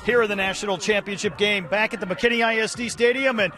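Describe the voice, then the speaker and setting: A man's voice: sits high, steady tone, quick pace, music in the background. A middle-aged man hosting a sports preview with polished broadcast announcer delivery, speaking outdoors into a handheld microphone. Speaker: team play-by-play announcer and host. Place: outdoors in an open football stadium. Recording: outdoor noise behind voice.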